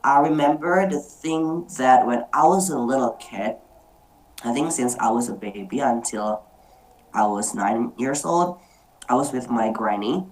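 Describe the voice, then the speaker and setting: Very low-voiced woman moderating slowly. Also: darkish tone, poor audio. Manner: hesitant, many fillers and restarts. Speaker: meeting moderator. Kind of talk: online group discussion, personal storytelling. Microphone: video call.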